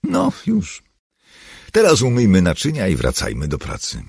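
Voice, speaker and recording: An intense, commanding leader of a commune in an audiobook, voiced by a man. He speaks in a husky, very low murmur, slow and measured. His calm is soothing yet firm. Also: no room sound, studio quality, exaggerated voice.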